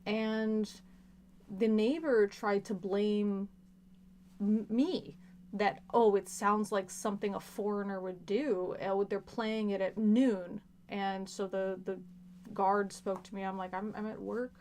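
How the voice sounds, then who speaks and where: A woman's voice: medium pitch, fairly even intonation, slow, darkish tone. A woman hosting a casual livestream, chatting to viewers and acting out bits of dialogue as she tells stories. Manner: storytelling with laughs and mimicked dialogue. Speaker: livestream host.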